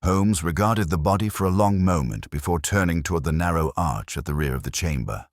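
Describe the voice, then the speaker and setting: A man reading a dramatic mystery story in a solemn, measured, literary storytelling manner. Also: low-pitched, no room sound, studio quality. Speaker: audiobook narrator. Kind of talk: scripted dramatic mystery narration.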